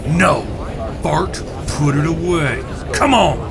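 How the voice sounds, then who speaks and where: Man acting as bossy Old West outlaw leader, highish voice, impatient, music behind. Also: slow, creaky. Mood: impatient, instructing. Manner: foul-mouthed, impatient, explanatory. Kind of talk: audio drama acting.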